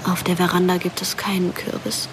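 A woman playing a wistful, detached teenage ghost, narrating a radio play softly and in a hushed manner. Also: lowish voice, flat delivery, brisk, noisy background, natural voice.

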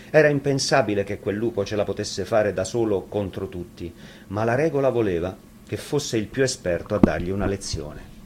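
A man's voice: lowish voice, darkish tone, slight background noise. A man reading a fairy tale expressively, as a storyteller.

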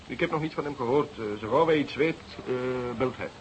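An actor playing an earnest junior police detective who reports and gently protests, in a Dutch radio drama. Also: male voice, mid-range voice, brisk, dull audio, lightly acted. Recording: hissy, noisy radio drama recording.

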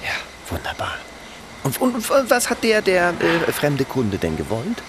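Wry, stammering reporter, very animated, noisy surroundings. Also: male voice, sits high, normal speed. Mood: eager, flustered. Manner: stammering, flippant, mock-solemn. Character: wry male local newspaper reporter, joking and bumbling. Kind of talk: German radio play acting.

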